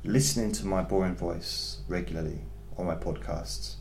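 A man hosting a hypnotherapy podcast who talks to his listeners calmly and in a rambling, self-deprecating way, often restarting his sentences. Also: sits low, normal speed, creaky. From England. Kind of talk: casual chat to listeners. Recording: echoey room with faint background noise.

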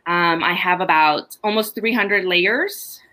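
Middle-aged woman from honduras, chatty farm stories, bright voice pitched a bit low. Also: takes it slowly. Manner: chatty, animated storytelling with laughs. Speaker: farmer, podcast guest. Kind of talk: casual chat.

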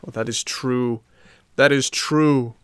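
A man's voice: fairly low pitch, slow, vocal fry, fairly bright tone, no room sound, clean studio sound, full character voice. A man voicing a tearful, remorseful boy puppet in a storybook, with a plaintive, pleading delivery. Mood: tearful, contrite.

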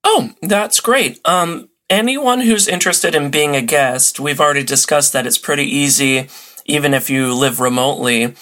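A man's voice: a little high, moderate pace, sharp tone. A man talking casually as a podcast guest, telling things in an amused way. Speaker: podcast guest, old-time radio enthusiast. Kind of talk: casual interview chat.

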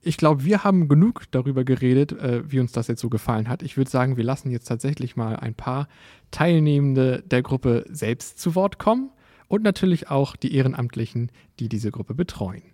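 A man's voice: medium pitch, lively intonation, normal speed, mellow, no room sound. Casual male co-host, dry close sound. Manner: loose, casual talk with many fillers. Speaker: podcast co-host. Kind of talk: casual chat.

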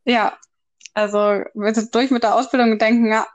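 A woman's voice: a little high, steady tone, a little fast. A woman in her early twenties in a casual, unscripted podcast chat, speaking in a slightly tentative way. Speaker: podcast co-host.

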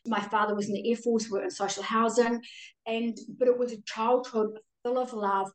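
New Zealand woman, middle-aged, higher-pitched, effusive casual chat, roomy echo. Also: little pitch movement, brisk. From Auckland, New Zealand. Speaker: podcast guest. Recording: roomy echo, distant from mic.

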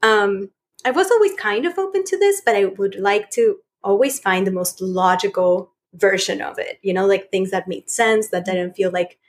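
A woman's voice: mid-range voice, normal speed. A woman from Chile, a podcast guest chatting casually, laughing often and leaning on filler words. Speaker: guest, spiritual coach.

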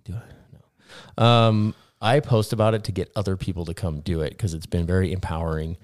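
Deep-voiced man in his forties, joking. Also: level tone, brisk, darkish tone, dry recording, studio quality. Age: 40s. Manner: blunt, joking, swears casually. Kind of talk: casual chat.

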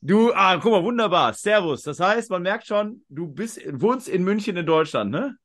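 Rambling male podcast host, slightly higher voice. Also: animated, normal speed, a bit bright. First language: German. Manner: talkative, rambling. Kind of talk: casual podcast chat.

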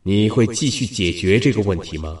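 A man's voice: on the low side, expressive, moderate pace, darkish tone, natural voice. A man playing the solemn collective soul of humanity in a science fiction audio drama, grave and pleading. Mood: searching, resigned.

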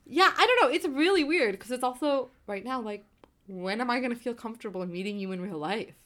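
A woman's voice: fairly high pitch, animated, brisk, fairly bright tone. Frank young woman podcast cohost. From New Jersey, US. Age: 20s. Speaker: podcast co-host. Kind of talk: casual chat.

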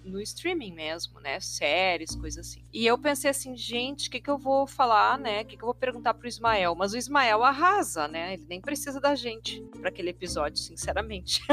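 A woman co-hosting a podcast, chatting casually in a relaxed, easygoing way. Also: a little high, moderate pace, soft background music. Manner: relaxed, laughs often. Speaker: podcast co-host.

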